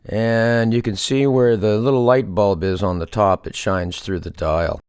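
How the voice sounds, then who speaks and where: A man's voice: lowish voice, fairly even intonation, unhurried, darkish tone, clear, resonant tone, dry recording, studio quality. A man giving a casual, unscripted show-and-tell as a hobbyist presenter, hesitantly thinking aloud as he goes. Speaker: hobbyist restorer presenting a project.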